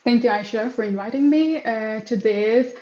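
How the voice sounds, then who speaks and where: Hesitant young woman, mellow, echoey. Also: a little high, normal speed, highs cut off. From Afghanistan. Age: 20s. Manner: hesitant, many fillers and restarts. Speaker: project manager, interview guest. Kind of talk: casual interview answers.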